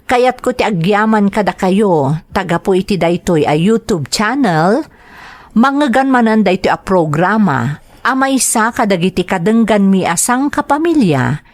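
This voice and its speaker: A woman's voice: medium pitch, no room sound. A woman with an Ilocano accent hosting a drama programme, reading out greetings and listener letters.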